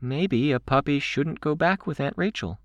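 A man's voice: medium pitch, moderate pace, clear, resonant tone, no room sound, muffled recording, pitched above the performer's own voice, close to an everyday voice. A man voicing a candid, playful young girl with a wry, theatrical streak in an audiobook. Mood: teasing. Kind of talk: audiobook character voice.

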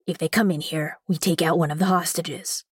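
A woman voicing a blunt, fearless, aggressive teenage girl with a husky, threatening delivery in an audiobook. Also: low-pitched, fairly even intonation, brisk, pitched below the performer's own voice. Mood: blunt menace.

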